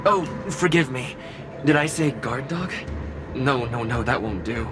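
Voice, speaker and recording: A man's voice: mid-range voice, animated, unhurried, lightly acted. A man voicing a mocking, theatrical, malevolent spirit in a video game, drawing out his words. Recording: loud music behind the voice.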